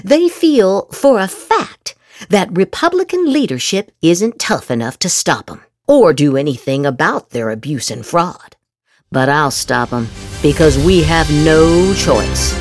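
Lady podcaster reading posts super dramatically, lowish voice going all over the place, real dry close sound. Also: slightly rough, no echo. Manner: exaggerated, emphatic inflection. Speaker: podcast host. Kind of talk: theatrical dramatic reading of social media posts.

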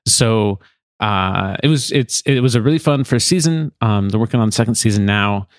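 A man hosting a podcast in casual chat, often halting as he explains things. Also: on the low side, no echo, clean studio sound. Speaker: podcast host.

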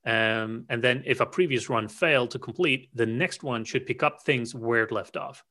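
A man's voice: mid-range voice, normal speed, bright, forceful tone. A man co-hosting a casual technical podcast, explaining concepts at length with frequent restarts and fillers. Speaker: podcast co-host.

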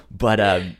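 A podcast co-host chatting casually about a series, in a halting way that often repeats words and starts over. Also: medium pitch.

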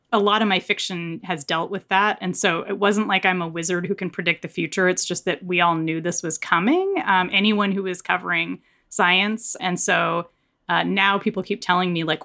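A woman's voice: mid-range voice, fairly bright tone. A woman answering interview questions as an author, explaining things in an articulate, candid and informal way. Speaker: author and science journalist being interviewed.

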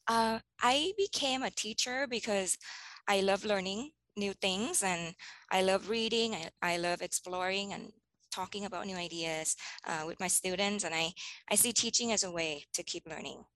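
A woman's voice: medium pitch, moderate pace, fairly bright tone, no room sound, rough recording. A woman in her thirties from Thailand, a teacher answering interview questions thoughtfully, with frequent ums and restarts. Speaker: teacher guest.

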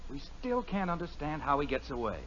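A man's voice: on the high side, big pitch swings, fairly quick, a bit bright, slight background noise. A man playing a sheepish, apologetic neighbor in a 1950s radio comedy. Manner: apologetic, meek. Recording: muffled old radio recording.

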